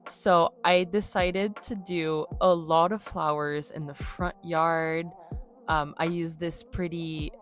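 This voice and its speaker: A woman's voice: on the low side, fairly even intonation, slow pace, faint music behind. A young woman whose first language is French, chatting in a casual, upbeat voice-over about her game build. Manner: chatty, upbeat, self-deprecating. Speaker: YouTuber narrating a game build.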